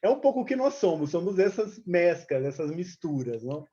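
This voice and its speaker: A woman's voice: on the low side, very animated, brisk, warm tone. A Brazilian woman, a native Portuguese speaker, giving an informal seminar talk as an activist, mixing Portuguese and Spanish and searching for words, over a lo-fi online call. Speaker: activist speaker, movement representative. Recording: dull lo-fi online call audio.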